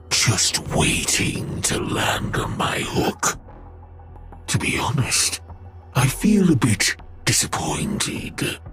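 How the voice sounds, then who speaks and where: An actor playing a menacing machine villain in a video game, mocking and condescending toward humans. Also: male voice, fairly low pitch, fairly bright tone, full character voice. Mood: gloating. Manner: taunting, condescending. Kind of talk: dramatic video game voice acting.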